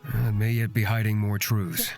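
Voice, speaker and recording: A man's voice: on the low side, little pitch movement, normal speed, a little mellow, faint music behind, lightly acted. Man voicing a blunt, exasperated companion. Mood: wary. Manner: blunt, stern. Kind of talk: visual novel character acting.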